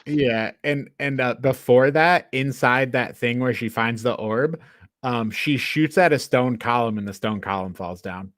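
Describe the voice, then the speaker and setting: A man's voice: mid-range voice, unhurried, fairly bright tone, dry recording, clean studio sound. Man in a casual, exasperated movie chat. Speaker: podcast co-host reviewing a film.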